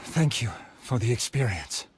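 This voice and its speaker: A man's voice: medium pitch, big pitch swings, moderate pace, over loud music, lightly acted. A man playing a humble, dignified swordsmith in a video game, formal, earnest and restrained. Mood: respectful. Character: humble, dignified male swordsmith of an old art.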